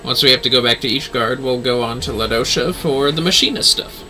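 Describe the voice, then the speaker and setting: A man's voice: medium pitch, fairly quick, pushed and bright, faint music behind. A man casually chatting as he streams a fantasy online game, musing playfully to himself. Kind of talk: casual gameplay livestream chat.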